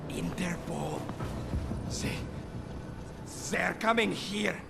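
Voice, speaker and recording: A frightened male informant from a video game, high-pitched and creaky. He stammers in slow, halting bursts, agitated and weighed down by guilt. Loud music plays behind him. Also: full character voice. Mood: panicked.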